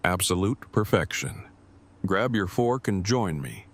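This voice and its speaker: A man's voice: sits low, sing-song, a little slow, heavily acted. A man acting in an audio drama as a formal, precise man in his thirties who speaks in long, pedantic phrases and is devoted to his partner. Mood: content, affectionate.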